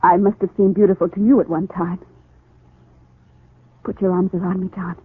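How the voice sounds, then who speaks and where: A woman's voice: on the low side, flat delivery, normal speed, husky. An actress playing a lovelorn, insistent woman in a 1940s radio soap opera, imploring and intense. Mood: pleading. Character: lovelorn, insistent woman pleading with the man she loves. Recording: tinny, echoey 1940s radio recording.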